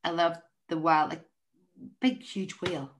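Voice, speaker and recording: Deep-voiced woman rambling through stories. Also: fairly even intonation, measured pace. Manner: rambling, chatty, self-interrupting storyteller. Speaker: podcast host. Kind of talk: casual chat.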